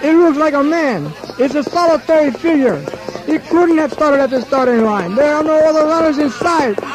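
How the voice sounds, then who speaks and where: An actor playing a chatty, amazed field reporter covering a race in a radio drama. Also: male voice, very high voice, animated, normal speed, poor audio.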